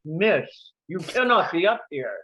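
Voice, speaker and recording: A woman's voice: fairly low pitch, big pitch swings, measured pace, fairly bright tone. A woman in a comedy table read playing a strict, exasperated male flight attendant with a firm, officious manner.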